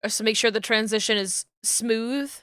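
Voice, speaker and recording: A woman on a live stream thinking aloud as she explains her rigging steps to chat, her voice going hoarse. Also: a little high, normal speed, a bit bright, no echo, clean studio sound. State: losing her voice. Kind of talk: casual live-stream talk while working.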